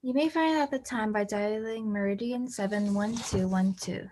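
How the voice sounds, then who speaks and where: A woman's voice: mid-range voice, moderate pace, a little mellow, natural voice. A woman playing a formal, impassive telephone information operator in a 1940s-style radio drama, with a detached manner. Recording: noisy, clicking background.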